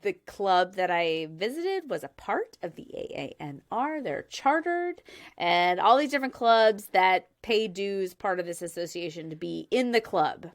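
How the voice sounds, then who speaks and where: A woman's voice: medium pitch, big pitch swings, a little slow. A woman in her thirties hosting a casual chat, laughing often and explaining her research step by step. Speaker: podcast host presenting research.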